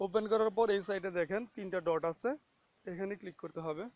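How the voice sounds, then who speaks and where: A man's voice: high-pitched, a little fast, a little mellow, no echo. A man hosting a casual, step-by-step phone app tutorial, instructing listeners and often checking that they follow. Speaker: tutorial host.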